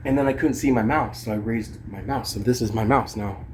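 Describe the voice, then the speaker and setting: A man's voice: mid-range voice, brisk, darkish tone. Casual rambling man, noisy home recording. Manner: informal, swearing, slangy, talking as they demonstrate gear. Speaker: vlogger and amateur songwriter. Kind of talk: casual rambling chat to camera.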